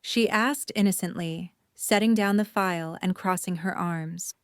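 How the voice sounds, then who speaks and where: A woman's voice: fairly low pitch, unhurried, clear, resonant tone, dry recording. Woman narrator with a dry, close sound. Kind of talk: scripted story narration.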